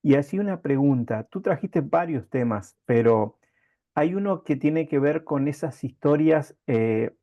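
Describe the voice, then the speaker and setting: A man interviewing in a conversational way, speaking haltingly and reflectively in circling phrases. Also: fairly high pitch, animated, muffled recording.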